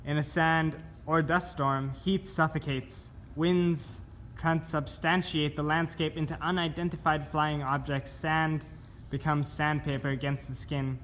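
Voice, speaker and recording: A man giving a scripted spoken-word reading of poetic survival instructions in a measured, deliberate manner. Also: fairly high pitch, flat delivery, a bit bright. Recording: clear background noise.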